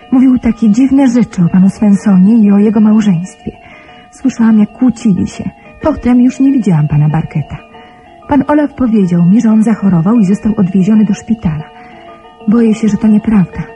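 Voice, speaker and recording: Actress as scared young woman reading out her letter in a radio drama, very mellow soft-edged tone, music underneath. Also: medium pitch, close to an everyday voice. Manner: anxious, confiding. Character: frightened young woman employee writing a confiding letter. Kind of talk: radio play monologue.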